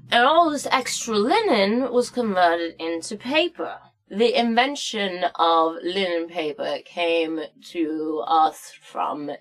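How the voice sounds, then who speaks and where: A woman playing a crotchety, boozy old woman who tells comic history stories in a theatrical, rambling, halting way. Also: fairly low pitch, a bit bright, poor audio. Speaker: story-time host. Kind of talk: comic storybook narration and history lesson.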